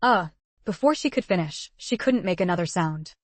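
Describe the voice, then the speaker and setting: A woman narrating a translated web novel in an even, measured storytelling voice. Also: lowish voice, no room sound. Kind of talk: scripted audiobook narration.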